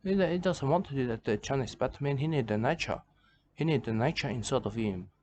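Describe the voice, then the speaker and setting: A man's voice: mid-range voice, animated, a little fast, a little mellow, a little hiss or hum. Man rambling in broken, repetitive phrases. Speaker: commentator reacting to a video. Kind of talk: rambling comedic commentary.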